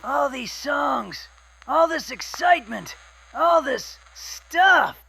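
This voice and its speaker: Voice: high-pitched, gravelly, exaggerated voice, poor audio. Charlie, a performer doing a giddy robot cohost. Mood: wistful. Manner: bursts of excitement, then slow musing. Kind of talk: fan radio drama.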